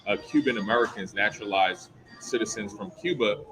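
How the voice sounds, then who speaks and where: A bilingual man co-hosting a live political discussion, talking in a lively, conversational way. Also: lowish voice, fairly quick, soft background music, rough recording. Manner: animated, conversational. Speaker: co-host.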